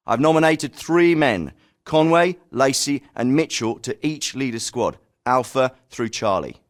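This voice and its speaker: A man's voice: medium pitch, close to an everyday voice. A man voicing an authoritative, composed special forces commander in a video game, giving scripted briefings in a clipped, formal manner. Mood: grave, resolute. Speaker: game voice actor.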